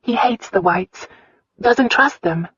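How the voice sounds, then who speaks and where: A woman voicing a spirited, candid young wife with an earnest, expressive way of talking in a romance audiobook. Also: fairly high pitch, slow pace, husky, no room sound, dull audio, voice pushed up, exaggerated voice.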